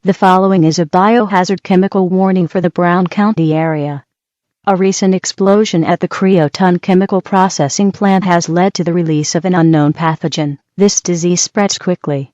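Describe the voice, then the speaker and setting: A woman voices an official emergency alert announcer. Her delivery is measured and impersonal, slightly low in pitch. The recording is dry and a little dull. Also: fairly even intonation, darkish tone, no echo, natural voice.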